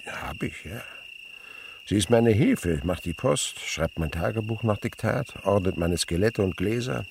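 An actor playing an elderly, thoughtful and melancholy naturalist in a German radio play, musing and pausing as he speaks. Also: lowish voice, animated, vocal fry, background noise. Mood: wistful, melancholy. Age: older. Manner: reflective, musing, hesitant pauses.

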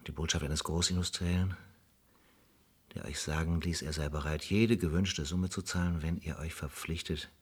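A former police sergeant haunted by guilt in a radio drama. The voice is very low and thickly husky, and he broods haltingly over his words. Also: fairly even intonation. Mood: brooding. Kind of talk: German radio play acting.

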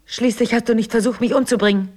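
An actress playing a jealous, doubting and remorseful wife in a German radio drama, with an emotional, pained delivery. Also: female voice, on the high side, steady tone, fast, darkish tone, close to an everyday voice. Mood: remorseful, resigned.

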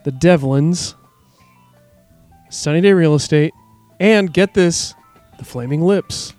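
A man's voice: a little high, slow, a little mellow, no echo, faint music behind. A man in casual conversation as one of a podcast panel. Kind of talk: casual chat.

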